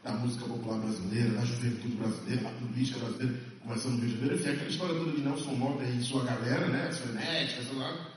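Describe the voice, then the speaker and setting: A man's voice: medium pitch, fairly even intonation, very fast, a little hiss or hum. A man from Salvador, an actor speaking at a press conference, emphatic and passionate, repeating phrases for stress. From Salvador, Bahia, Brazil. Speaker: stage and TV actor. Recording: echoey hall, mic far off.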